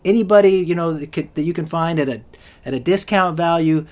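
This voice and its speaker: A man's voice: fairly high pitch, fairly quick, a little mellow. A man hosting a solo fantasy football podcast, rambling with lots of restarts and fillers, and he is losing his voice. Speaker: podcast host.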